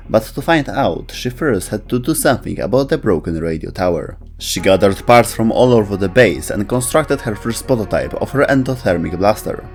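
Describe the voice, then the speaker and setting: A man's voice: lowish voice, a little mellow. A man reading scripted narration for a video about video game lore.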